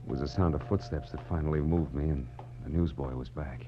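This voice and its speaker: An actor playing a hard-boiled, weary private detective, narrating and talking in terse, wry wisecracks in 1940s noir radio style. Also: male voice, a bass voice, steady tone, brisk. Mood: groggy, wry. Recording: muffled old radio recording, music behind.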